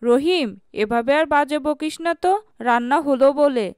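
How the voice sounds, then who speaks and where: A woman voicing a patient, gently chiding village mother in a cartoon. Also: high-pitched, normal speed, natural voice. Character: patient village mother scolding her children. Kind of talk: cartoon dubbing.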